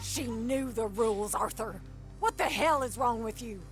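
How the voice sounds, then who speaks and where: Actress as a stern, high-voiced camp matron. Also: female voice, animated, moderate pace, soft background music, close to an everyday voice. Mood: stern reproach. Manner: stern, commanding, scolding. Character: bossy, hard-nosed camp matron barking orders. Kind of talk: dramatic video game cutscene acting.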